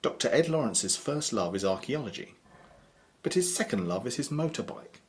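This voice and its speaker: A British man narrates a scripted drama as a storyteller, theatrical and ominous. His mid-pitched voice rises and falls with a lot of variety. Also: big pitch swings, normal speed, a little hiss or hum.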